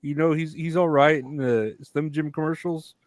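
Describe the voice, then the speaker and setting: A man's voice: on the high side, fairly even intonation, normal speed, no room sound. Hesitant man with a dry close sound. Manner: hesitant, restarts, opinionated. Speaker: podcast co-host. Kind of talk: casual wrestling chat.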